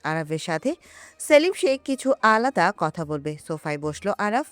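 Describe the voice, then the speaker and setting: A woman's voice: lowish voice, big pitch swings, moderate pace, dry recording. A woman reading a romantic story as a narrator, in a dramatic scripted style. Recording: music behind the voice. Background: background music.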